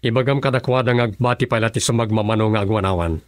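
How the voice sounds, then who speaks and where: Radio drama boss, male actor: Filipino accent, lowish voice, commanding, guarded, dry sound. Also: fast talker, no room sound, close to an everyday voice. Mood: firm, watchful. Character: commanding, secretive male boss and husband addressed as Gob. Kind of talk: Ilocano radio drama acting.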